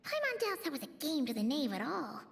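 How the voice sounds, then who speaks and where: A little floating game companion with a piping, childlike voice. She is blunt and chatty, with very animated intonation. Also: sits high, fairly quick, vocal fry, exaggerated voice. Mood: doubtful, surprised. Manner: speaks of herself in third person. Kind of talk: video game voice acting.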